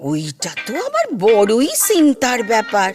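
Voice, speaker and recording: A high-voiced chatty East Bengali housemaid. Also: female voice, wildly swooping pitch. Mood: earnest, nosy. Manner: garrulous, drawn-out folksy phrasing. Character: chatty nosy rustic housemaid, earnest, tirelessly talkative. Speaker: voice actor. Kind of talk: comic Bengali audio drama acting.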